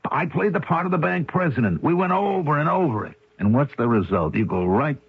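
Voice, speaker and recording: Husky, hard-boiled con artist. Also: male voice, fairly high pitch, animated, a little fast, heavily acted. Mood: angry, scolding. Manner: curt, scolding, street-tough slang. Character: hard-boiled male con artist, short-tempered, bossy and scornful. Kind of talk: old-time radio mystery acting. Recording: muffled, echoey vintage radio recording.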